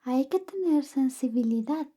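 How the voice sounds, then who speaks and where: A young woman gently reading a bedtime story in a soft, whispered ASMR style. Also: high voice, expressive, a little slow.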